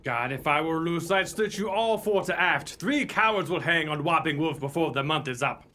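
Man playing a bright-voiced, defiant young hero. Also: fairly high pitch, lively intonation, moderate pace. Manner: declamatory, formal heroic speech. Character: Red Joe, defiant, noble young king's agent. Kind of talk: melodramatic radio play acting.

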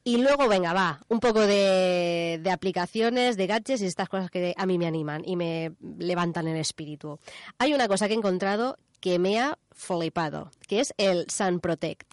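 A woman's voice: lowish voice, normal speed, dry recording, clean studio sound. A woman with a Spanish accent from Spain, chatting informally and enthusiastically as a radio co-host. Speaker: radio show co-host presenting a news and gadgets section.

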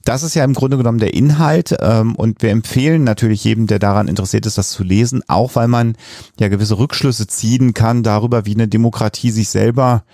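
Mellow, low-voiced man hosting. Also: fairly even intonation, brisk, no room sound, clean studio sound. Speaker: podcast co-host. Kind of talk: conversational podcast interview.

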